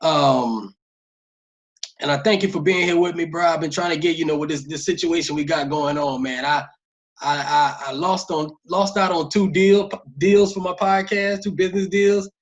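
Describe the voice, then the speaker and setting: Dull-sounding, higher-pitched male podcast host. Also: fairly even intonation, moderate pace. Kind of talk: casual interview chat. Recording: dull, lo-fi voice track.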